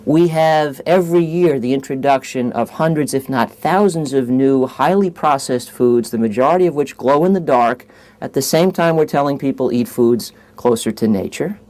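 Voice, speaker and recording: A man's voice: medium pitch, moderate pace, darkish tone. A man giving an articulate public talk in a wry, wordy manner.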